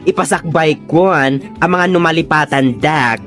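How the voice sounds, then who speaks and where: Casting: hearty, teasing female friend played by a woman, deep voice for a woman, faint music behind. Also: a little slow, fairly bright tone. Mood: cheerful. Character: cheerful generous female friend bringing wedding gifts. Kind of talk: Ilocano comedy drama dialogue.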